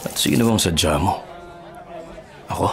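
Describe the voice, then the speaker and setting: A deep-voiced, hardened Filipino hitman. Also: normal speed, gravelly, crowd noise, noisy background. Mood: menacing. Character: stubborn, hardened hitman and father, middle-aged man. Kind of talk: Filipino radio drama acting.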